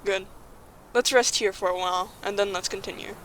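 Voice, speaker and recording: A woman playing a calm, practical, reassuring young group leader in an audio drama, with steady delivery. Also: mid-range voice, fairly quick, slight background noise, close to an everyday voice, rough recording.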